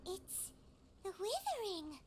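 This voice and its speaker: Super high pitched little fairy mascot for an anime game, excitable, bouncy delivery, music blaring under it. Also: female voice, slow pace, very noisy, full character voice. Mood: uneasy, explaining. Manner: refers to self in third person, exclamatory. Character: tiny floating fairy-like companion, childish, excitable, boastful. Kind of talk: anime video game dialogue. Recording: loud game music behind.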